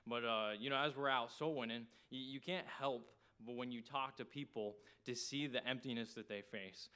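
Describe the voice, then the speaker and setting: A male preacher with a Texan accent delivers a church sermon in a clear, smooth voice. His pitch sits in an ordinary middle range, and his delivery is folksy and conversational. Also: a bit bright. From small town in Texas, United States.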